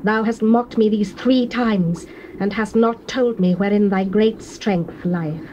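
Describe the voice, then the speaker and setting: A woman's voice: mid-range voice, fairly even intonation, slow pace, dark tone, natural voice. A woman playing a scheming, seductive, persistent betrayer, coaxing and theatrical, in a dramatized Bible reading. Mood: reproachful. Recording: faintly noisy old cassette recording.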